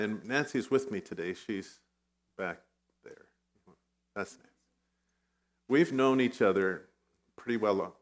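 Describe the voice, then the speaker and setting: A middle-aged man who grew up in Vietnam, a guest pastor giving a conversational sermon with personal stories, now and then clearing his throat. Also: on the low side. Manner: conversational, self-deprecating storytelling.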